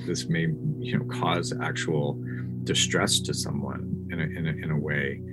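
An American man giving measured, thoughtful explanations as a researcher being interviewed. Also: extremely low pitch, steady tone, over loud music, rough recording. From United States. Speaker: academic researcher and interview guest.

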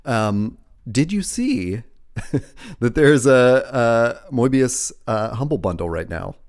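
A man's voice: mid-range voice, expressive, takes it slowly, a little mellow. A man co-hosting a casual podcast, chatty and teasing, with many fillers. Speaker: podcast co-host.

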